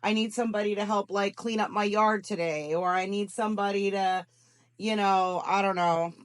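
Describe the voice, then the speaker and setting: A woman's voice: mid-range voice, fairly even intonation, normal speed. A woman giving casual advice on a phone call, cautioning and repeating her points for emphasis. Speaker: scam investigator giving job advice. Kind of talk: casual advisory phone conversation.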